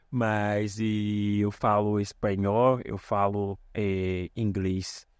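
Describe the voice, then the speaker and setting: A man with a Haitian accent, a native Creole speaker, talks slowly in a lowish voice. He hesitates with "eh" pauses while finding his words. The sound is close and dry. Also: level tone, a little mellow, clean tone, dry recording. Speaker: guest.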